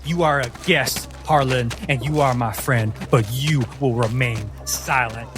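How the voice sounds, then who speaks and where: Menacing, vengeful village strongman for a fantasy roleplaying game male performer, commanding delivery, clear music bed. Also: mid-range voice, measured pace, lightly acted. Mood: menacing warning. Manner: commanding, threatening. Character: hulking, menacing village man, hard and vengeful father.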